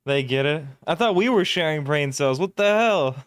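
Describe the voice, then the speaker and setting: A man's voice: on the high side, lively intonation, normal speed, clear, resonant tone, no room sound, clean studio sound. A young man chatting casually with his live-stream chat while drawing, laughing often and reacting to comments.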